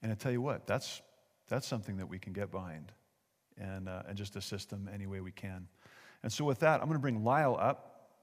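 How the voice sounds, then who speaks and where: In a reverberant hall, an American man presents to a church gathering in a fairly low voice. His delivery is informal and conversational, folksy and a little halting. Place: large hall, speaking to a gathering. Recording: echoey hall with long reverb.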